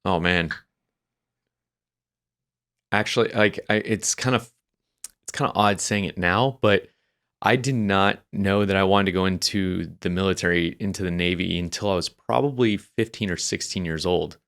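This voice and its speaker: A man's voice: deep voice, moderate pace, fairly bright tone, smooth. A young man, a Navy veteran from New Hampshire, telling his life story as a podcast guest in a rambling, restarting way. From New Hampshire, USA. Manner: rambling, many restarts and fillers. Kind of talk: casual interview storytelling.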